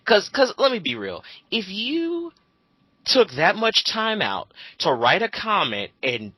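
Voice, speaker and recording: A man's voice: high-pitched, wildly swooping pitch, slow pace, bright, forceful tone, husky, no room sound. A man giving casual ranting commentary, profane, sarcastic and combative. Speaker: co-host commenting.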